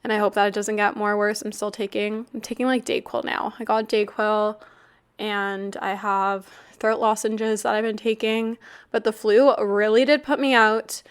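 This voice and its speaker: A Canadian woman in her twenties hosting a casual solo podcast, her voice raspy and a bit nasal from a flu cough, chatty and candid with casual swearing. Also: mid-range voice, flat delivery, moderate pace. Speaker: podcast host.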